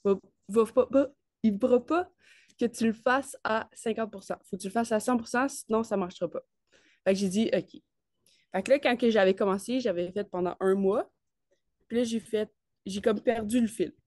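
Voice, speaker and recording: A woman's voice: on the high side, moderate pace, darkish tone, no room sound, clean studio sound. A woman of nineteen with a Quebec French accent, chatting casually and enthusiastically as an interview guest in colloquial speech. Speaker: interview guest, network marketer. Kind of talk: casual chat.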